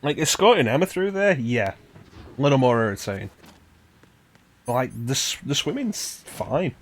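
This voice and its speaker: A man's voice: medium pitch, sing-song, normal speed. A man giving casual commentary while streaming a video game. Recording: game music playing behind. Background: video game music.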